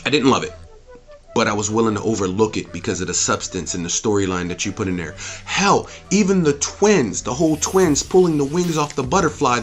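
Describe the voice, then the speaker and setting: A man giving an opinionated, off-the-cuff review as a host, speaking emphatically, repeating himself and swearing freely. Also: fairly high pitch. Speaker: movie reviewer and channel host.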